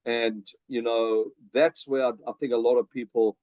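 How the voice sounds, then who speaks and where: A man's voice: mid-range voice, little pitch movement, moderate pace, darkish tone, no echo. A middle-aged man with a South African accent, chatting energetically as a podcast guest with many fillers and restarts. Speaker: podcast guest, business coach. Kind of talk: casual interview chat.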